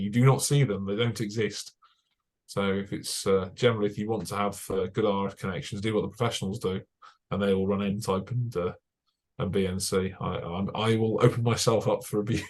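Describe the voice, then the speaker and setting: A young British man, a broadcast engineer, giving a chatty technical talk over slides, with many fillers and dry asides. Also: on the low side. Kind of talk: casual presentation over slides.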